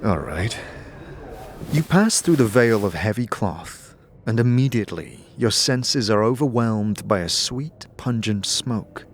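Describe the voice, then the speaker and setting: A man's voice: mid-range voice, lively intonation, measured pace, a little hiss or hum. A man narrating a scripted fantasy audio drama in the second person, with dramatic, descriptive storytelling. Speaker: narrator and game master.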